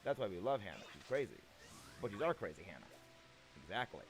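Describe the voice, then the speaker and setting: A young man chatting playfully with his stream viewers, a little gravelly and prone to repeating himself. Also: lowish voice, very animated, fast talker, soft background music. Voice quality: slightly gravelly. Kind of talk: casual live-stream chat with viewers.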